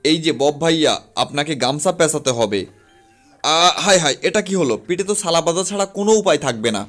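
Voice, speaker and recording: A man voicing an excitable, flustered cat barber in a comic cartoon dub, exclaiming dramatically over music. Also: fairly high pitch, fairly quick, lightly acted. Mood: flustered panic. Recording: music under the voice.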